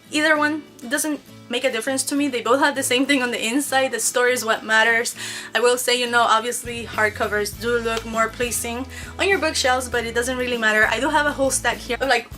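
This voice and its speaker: Bright, high-voiced young woman vlogger. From Mexico. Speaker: book vlogger. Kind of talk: casual to-camera question-and-answer chat.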